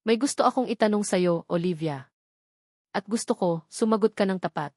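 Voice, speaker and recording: A synthetic woman's voice puts on a stern young male boss in a storybook reading. He speaks low, calm and controlled, with a serious edge. The sound is dry and close. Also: moderate pace, no room sound.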